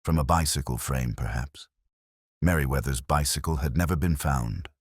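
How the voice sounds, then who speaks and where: A man playing a melancholy middle-aged English police inspector, narrating his case in a radio drama with a solemn, measured, literary delivery. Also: a bass voice, slightly rough, no echo, studio quality. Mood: somber, reflective. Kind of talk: scripted first-person radio drama narration.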